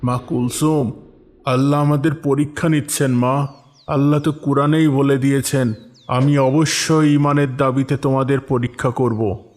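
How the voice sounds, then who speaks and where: A man voicing a devout, patient farmer father in a cartoon story, calm and reassuring with a preaching manner. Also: mid-range voice, natural voice.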